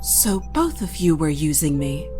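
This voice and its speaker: A woman's voice: sits low, sing-song, unhurried. A woman playing a naive, indignant alien woman in a fan audio drama, speaking earnestly in formal, stilted wording. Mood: hurt, accusing. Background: background music.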